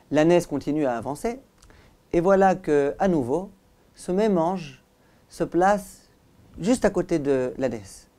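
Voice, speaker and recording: A man, a rabbi, giving a religious lesson as animated storytelling, with dramatic pauses and direct address to his listeners. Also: on the high side. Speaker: rabbi, teacher.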